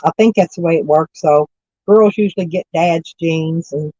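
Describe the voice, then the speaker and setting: A hoarse woman with stuffy sinuses, rambling folksily as she chats with live viewers from her camper van. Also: low voice, normal speed, warm tone, no echo, muffled recording. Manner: rambling, folksy, self-correcting. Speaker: vlogger chatting with live viewers. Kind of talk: casual morning chat.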